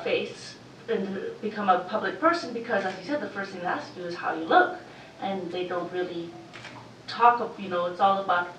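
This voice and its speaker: Loud-voiced woman in an echoey hall. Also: mid-range voice, far from the mic, slight background noise. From Canada. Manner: loud, lively, talkative. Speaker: audience member. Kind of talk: audience comment at a panel Q&A. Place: university lecture room. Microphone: room mic from a distance.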